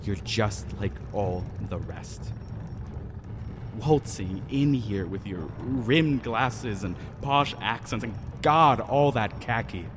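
A man's voice: fairly low pitch, lively intonation, background noise. An actor playing a vengeful Mayan man in an audio drama, mocking and menacing as he toys with an intruder. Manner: taunting, deliberate, menacing.

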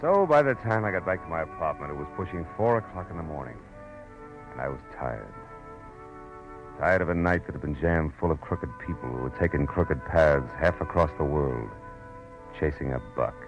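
A man's voice: very deep voice, expressive, moderate pace, dull audio. An American man playing a weary, cynical hard-boiled detective in 1940s radio drama, clipped and sardonic. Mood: weary narration. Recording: old radio broadcast with music.

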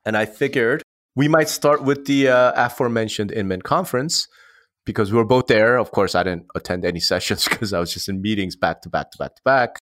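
A man's voice: on the low side, fairly quick, a bit bright. A man co-hosting a podcast in a casual, slangy and joking way. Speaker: podcast co-host.

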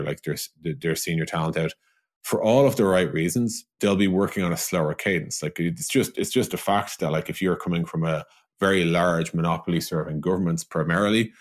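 A man in an informal business discussion, explaining things conversationally as a tech executive and co-host, repeating words for emphasis. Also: deep voice.

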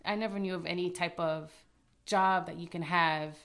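A woman's voice: lowish voice, fairly even intonation, normal speed, fairly bright tone. A woman from Canada, a guest choreographer, telling her story in a giggly, nervous, chatty way. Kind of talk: casual interview storytelling.

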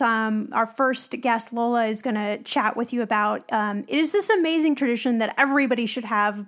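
A woman with a fairly high voice co-hosts a travel chat. She sounds eager and trips over her words now and then. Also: fairly bright tone. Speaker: podcast co-host. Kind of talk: casual chat.

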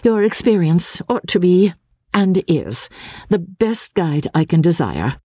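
A woman voicing a resolute but anxious Victorian gentlewoman in a dramatic audiobook reading, earnest and vehement. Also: on the low side, very animated, slow, no room sound, clean studio sound, voice pushed up, heavily acted. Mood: firm, insistent.

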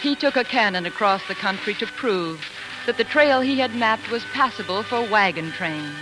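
An actress as a spirited, swooping-voiced loyal wife. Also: female voice, medium pitch, expressive, normal speed, natural voice, poor audio. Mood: proud, tender. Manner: impassioned, theatrical, emphatic. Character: spirited, fiercely loyal colonel's wife and devoted daughter. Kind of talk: 1940s radio acting. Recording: 1940s radio broadcast with music.

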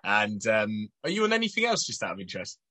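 A man's voice: lowish voice, wildly swooping pitch, quick pace, sharp tone, no echo. A man guesting on a podcast, easygoing and chatty. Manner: easygoing, laughs often. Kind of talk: casual podcast chat.

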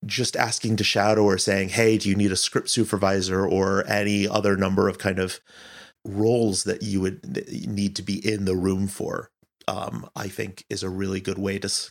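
A man's voice: low-pitched, flat delivery, moderate pace, vocal fry. A man answering interview questions as an audio director, speaking earnestly and thoughtfully, often searching for his words.

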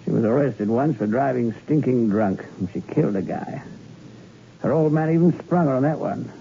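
Man as cynical newspaperman, very dark muffled voice. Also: medium pitch, creaky, natural voice. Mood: cynical, confiding. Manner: brisk, slangy, confiding. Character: cynical, worldly male newspaper crime editor. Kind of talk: 1960s radio drama acting. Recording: dull, faintly noisy radio recording.

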